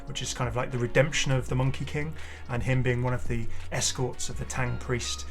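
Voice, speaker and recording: A man's voice: medium pitch, little pitch movement, a little fast. Enthusiastic man chatting over music. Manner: enthusiastic, effusive. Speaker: gaming video creator and host. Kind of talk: casual game showcase commentary. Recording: music playing behind the voice. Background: clear background music.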